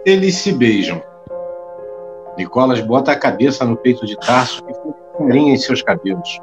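A man's voice: medium pitch, animated, normal speed, highs cut off, music in the background. A man narrating an audio drama from a script, describing the action.

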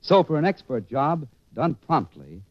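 An American man announcing a 1940s radio show and reading its sponsor pitch. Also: very animated, unhurried. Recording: dull 1940s radio recording.

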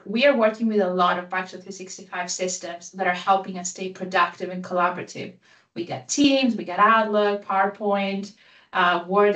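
A woman's voice: medium pitch, a bit bright. A woman in her thirties with a Greek accent presents over a video call, upbeat and eager, slipping in dry jokes. Her mid-height voice sounds a little far from the mic in an echoing room. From Greece and Italy. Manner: upbeat, enthusiastic, self-deprecating humor. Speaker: guest speaker, consultant.

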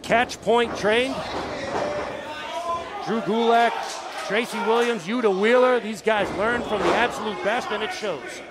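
A man's voice: high voice, measured pace. A man calling a live wrestling match play-by-play with an emphatic announcer delivery. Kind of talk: live sports commentary. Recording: loud crowd and venue noise behind. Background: wrestling crowd, ring slams.

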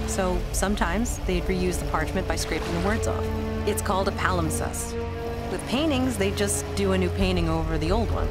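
A woman's voice: lowish voice, close to an everyday voice. A woman playing an earnest bookish journalist. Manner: earnest, explains precisely. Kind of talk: fan audio drama acting. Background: loud music score.

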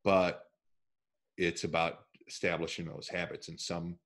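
Deep-voiced man hosting over a headset. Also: a little slow. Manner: animated, emphatic, gestures with hands. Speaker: podcast host. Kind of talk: casual interview chat. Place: home room, indoors. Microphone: headset on a video call.

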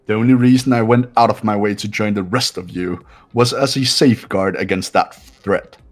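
A man acts out a cold, haughty young video game character. His voice sits low and drips with condescension and scorn. Background music plays along behind him. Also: normal speed, lightly acted. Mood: condescending. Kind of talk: amateur video game voice-over.

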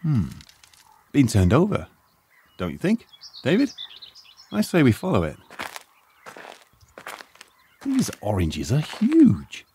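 Retired English archaeologist for audio drama, British accent, polite yet sardonic, very lively intonation over faint ambience. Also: male voice, mid-range voice, slow, long pauses. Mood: curious. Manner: muttering musings, hesitant, polite. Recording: faint ambient noise behind.

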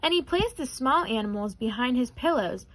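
A young adult woman reading a children's picture book aloud in an expressive, careful way. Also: on the high side, normal speed, rough recording. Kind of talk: children's storybook read-aloud.

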